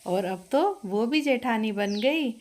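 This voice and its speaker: A woman's voice: on the high side, expressive, takes it slowly, darkish tone, slight background noise. A woman voicing a chatty eldest sister-in-law who hands out chores in a family story. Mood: teasing.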